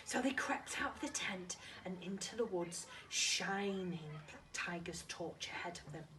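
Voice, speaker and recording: Female storyteller for young children: lively, swooping delivery, dramatic, recorded a little far from the mic with faint noise. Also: on the low side, unhurried. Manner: animated, dramatic, addresses young listeners with questions. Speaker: primary school teacher reading to children. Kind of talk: expressive children's storybook reading.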